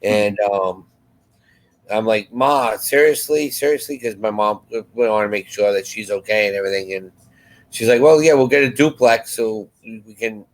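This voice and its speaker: A man's voice: lowish voice, moderate pace, rough recording. A man chatting casually as co-host of a live show, rambling and restarting his phrases as he goes. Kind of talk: casual chat.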